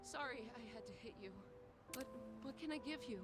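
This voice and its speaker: Woman playing a high, polite young game heroine. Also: a little fast, music in the background, close to an everyday voice. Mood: grateful. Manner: polite, apologetic. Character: polite, soft-hearted young woman, teasing when bold.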